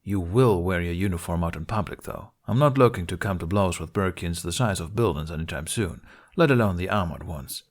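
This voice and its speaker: A man voicing a gruff, exasperated but caring male guardian in a storybook reading, scolding and sardonic. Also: deep voice, fairly quick. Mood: firm, softening.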